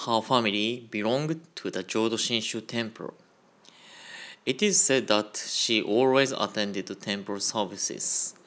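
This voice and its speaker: A man giving a Buddhist Dharma talk on a radio program, in a slow, measured and careful delivery. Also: on the low side, fairly bright tone. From Japan. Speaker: Buddhist minister.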